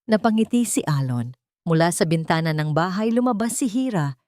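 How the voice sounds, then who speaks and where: A woman's voice: fairly low pitch, expressive, normal speed, a little mellow, no echo, clean studio sound. A woman giving an earnest, scripted dramatic narration as a sentimental storyteller. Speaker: storyteller and channel host.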